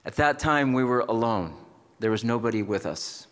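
A man's voice: mid-range voice, lively intonation, a bit bright. A middle-aged man hosting an awards dinner, speaking emphatically from a podium in a large banquet hall. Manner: emphatic, slow, builds to proud introductions. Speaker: event host presenting an award. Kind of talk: rousing speech at a podium. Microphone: podium microphone. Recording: long hall reverb with faint crowd.

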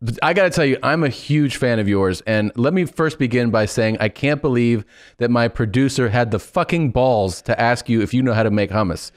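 A man's voice: mid-range voice, brisk, no echo. A man in his forties hosting a podcast interview, casual and joking, swearing freely, on a close studio microphone. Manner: joking, swears freely, puts on mock voices. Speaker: podcast host. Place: small studio. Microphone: studio boom mic, close.

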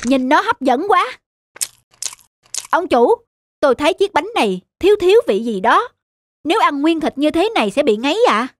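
A woman's voice: sits high, very animated, normal speed, pauses often, no room sound, pitched above the performer's own voice. A woman voicing an eager, deferential young male waiter in a cartoon. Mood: frank, polite. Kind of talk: cartoon story acting.

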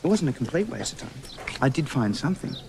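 A man's voice: mid-range voice, very animated, fast, gravelly, background noise. A young man with an Australian accent playing a flustered law student, sounding exasperated and pleading. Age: young adult. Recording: film trailer with loud background.

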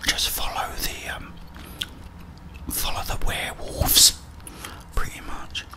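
A middle-aged British man giving whispered ASMR commentary on a video game, muttering his thoughts aloud. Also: slow pace. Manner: muttering to himself, thinking aloud. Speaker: gaming streamer.

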